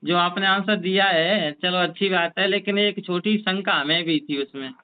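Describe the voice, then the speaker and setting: A man's voice: sits high, fairly even intonation, moderate pace, clear, resonant tone. A man chatting casually on a phone call in an agreeable manner, keeping his replies brief. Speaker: religious storyteller on a call. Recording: recorded phone call, narrow sound.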